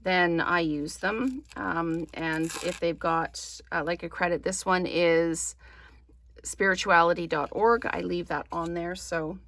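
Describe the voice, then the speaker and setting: Gravelly-voiced woman thinking aloud while crafting. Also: fairly low pitch, fairly even intonation, slow pace, fairly bright tone, slight background noise. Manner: apologetic, chatty, thinks aloud with many ums. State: slightly hoarse, losing her voice. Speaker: crafting channel host.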